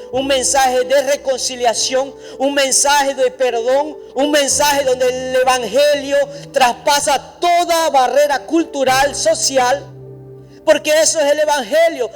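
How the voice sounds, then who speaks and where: Man preaching to his congregation, fairly high voice, repeats lines for emphasis, soft music behind. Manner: emphatic, repetitive preaching with lists; addresses the congregation directly. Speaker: pastor. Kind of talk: sermon. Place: church.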